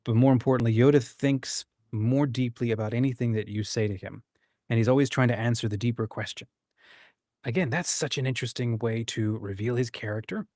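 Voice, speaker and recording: A man's voice: mid-range voice, a little fast, a little mellow, no echo, clean studio sound. A man hosting a casual discussion, explaining his ideas and sometimes acting out short examples. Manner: acts out short quoted examples. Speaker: host of a writing discussion.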